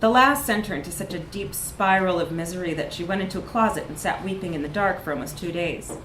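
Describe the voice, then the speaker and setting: A woman's voice: lowish voice, fast, a bit bright, reverberant, slight background noise, poor audio. A woman reads a comic short story aloud at a live event, with wry, emphatic narration. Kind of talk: animated literary narration, live reading.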